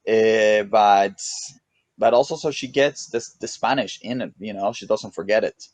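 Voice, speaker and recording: A man with a Mexican accent chatting casually as an interview guest, relaxed and rambling, with many restarts and "you know"s. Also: lowish voice, measured pace, no room sound. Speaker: guest, pro paddle racer.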